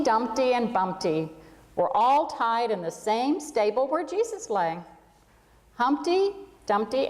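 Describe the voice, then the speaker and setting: Female storybook reader for a church congregation, higher-pitched voice, long hall reverb. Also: darkish tone. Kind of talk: children's storybook read aloud to a congregation.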